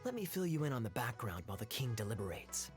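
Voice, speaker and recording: An actor playing a suave, teasing young knight in a video game, with a smooth, wry, theatrical delivery. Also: male voice, medium pitch, sing-song, fast, over music, close to an everyday voice. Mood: relaxed, storytelling. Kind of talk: video game character dialogue.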